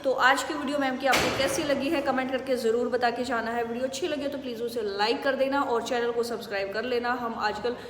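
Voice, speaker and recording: A woman with an Indian accent teaching English phrases, saying the model answers slowly and clearly. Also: a little high, steady tone, a bit bright, slight background noise. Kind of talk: spoken English lesson in Hindi. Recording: echoey room with long reverb.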